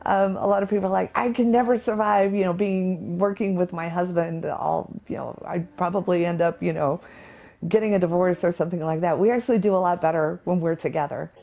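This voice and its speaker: Gravelly-voiced female radio co-host. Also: fairly low pitch, steady tone, brisk, dark tone. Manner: chatty, filler-heavy. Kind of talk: casual interview.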